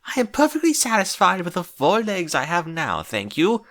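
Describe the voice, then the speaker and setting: A man's voice: sits high, huge pitch swings, normal speed, fairly bright tone, pitched above the performer's own voice, exaggerated voice. A man voicing a dramatic, prissy female unicorn fashionista, theatrical and haughty, in a cartoon-style story reading. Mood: haughty, nervous.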